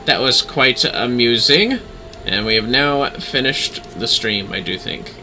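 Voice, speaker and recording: A man's voice: a little high, bright, forceful tone, rough recording. A man who sounds tired, chatting casually to viewers as a livestreamer.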